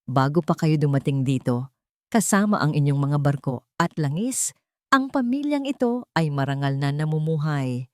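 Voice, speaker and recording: A woman's voice: low-pitched, expressive, normal speed, no room sound, studio quality. A woman narrator voicing a loyal, proud old village man in an audiobook drama, speaking with emotional indignation. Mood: indignant. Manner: shouting, trembling with emotion.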